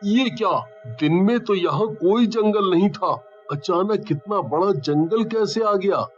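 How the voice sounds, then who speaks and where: An earnest, questioning young man played by a man in a cartoon story, with a high-pitched voice. The recording is dull, with faint music behind the words. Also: animated, slow pace. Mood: startled. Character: earnest, curious young man visiting his village home.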